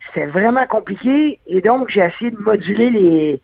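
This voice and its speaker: A man's voice: high-pitched, animated, normal speed, creaky, no room sound. Québécois man on a tinny phone line. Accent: Québécois French. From Quebec. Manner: hesitant, many fillers and restarts, laughs often. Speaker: guest. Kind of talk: casual interview chat.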